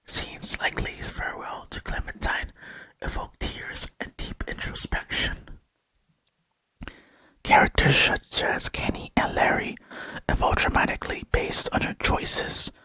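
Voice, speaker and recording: A man narrating a gaming video in a breathy ASMR whisper, speaking softly and in a measured way close to the microphone. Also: poor audio. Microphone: close microphone, dry sound.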